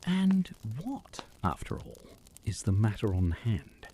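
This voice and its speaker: A woman playing a deliberate, inquisitive character in a detective audio drama. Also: a bass voice, sing-song, mellow, breathy edge, exaggerated voice. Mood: explanatory.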